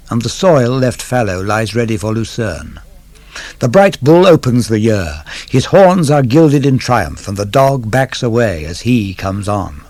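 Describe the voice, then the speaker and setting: A man giving a measured, deliberate recitation of verse, like a scripted dramatic narrator instructing his listeners. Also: mid-range voice, a little mellow, dry recording. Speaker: poetry reader.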